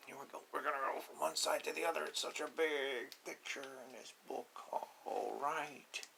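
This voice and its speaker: A man's voice: a little high, normal speed, gravelly, noisy background. A man reading a picture book aloud to young children, explaining simply with repeated asides. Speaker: storybook reader.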